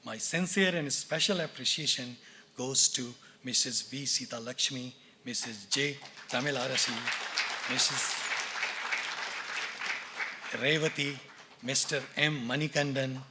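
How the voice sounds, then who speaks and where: A man with an Indian English accent, giving a measured, formal read speech at a university convocation from a podium in a lecture theatre. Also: mid-range voice, crowd noise, noisy background. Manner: measured, formal, reads names and titles deliberately. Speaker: department head speaking at a convocation.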